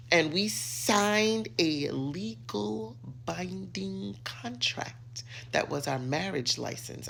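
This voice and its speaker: A middle-aged American woman giving an opinionated rant to camera, emphatic, sassy and theatrical, with dramatic pauses and drawn-out words. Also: low-pitched, gravelly, a bit bright, a little hiss or hum. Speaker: social media commentator.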